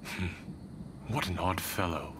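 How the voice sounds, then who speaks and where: A man's voice: low voice, measured pace, background noise. An actor playing a stern, dismissive man of authority in a shogunate, in a video game cutscene. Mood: cold, dismissive. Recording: clear background music.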